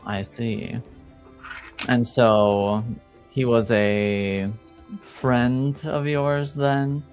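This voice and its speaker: A man playing a reserved, dignified noble in a tabletop fantasy game, speaking formally and deliberately in short, probing phrases. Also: mid-range voice, fairly even intonation, a little mellow, faint music behind. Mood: guarded, probing. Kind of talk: improvised in-character tabletop roleplay.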